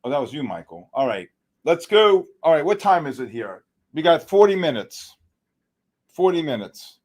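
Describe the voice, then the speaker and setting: A man's voice: on the high side, normal speed. A middle-aged man hosting a live trading stream, joking and hyping up his viewers. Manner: excitable, joking, hypes viewers. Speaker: livestream host.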